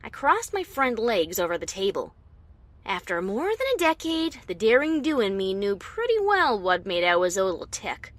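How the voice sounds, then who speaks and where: Casting: actress as defiant pony adventurer telling her own tale, animated mid-range voice, wry and dramatic. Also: mid-range voice, moderate pace, dry recording, natural voice. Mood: defiant, amused. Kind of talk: first-person story narration with acted dialogue.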